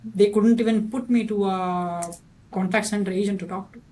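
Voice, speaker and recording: A man's voice: high voice, fairly even intonation, normal speed, mellow, slight background noise. A man with a thick Indian accent hosting a podcast interview, asking questions with many restarts and a habit of adding "right?". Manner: restarts sentences often, tags "right?". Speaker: podcast host.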